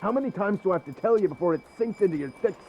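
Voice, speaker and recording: An actor playing a bossy, hot-tempered bandit leader in a video game, barking threats in a gravelly voice. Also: male voice, expressive, rapid-fire, darkish tone, faint music behind. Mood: angry, threatening. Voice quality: gravelly, high-pitched. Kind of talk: scripted video game voice acting.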